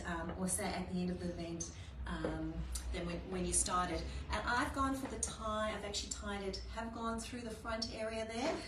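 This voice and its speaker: A woman with a New Zealand accent hosting a live fashion shopping stream, chatty and gushing with many ums. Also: medium pitch. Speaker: fashion brand host. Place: fashion studio. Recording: echoey room, far from mic, noisy.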